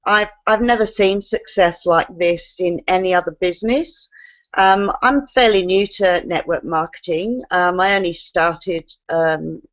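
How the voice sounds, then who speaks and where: A woman who talks as a guest on an online call, excited and quick to laugh. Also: medium pitch. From Australia. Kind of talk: casual excited testimony. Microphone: video call. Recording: lo-fi video call audio.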